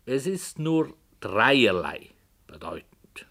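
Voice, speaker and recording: An actor playing a brooding, hesitant author who tells a dark story in a slow, hushed voice that sometimes drops to a whisper, in 1960s radio play style. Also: male voice, mid-range voice, big pitch swings, exaggerated voice. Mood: musing.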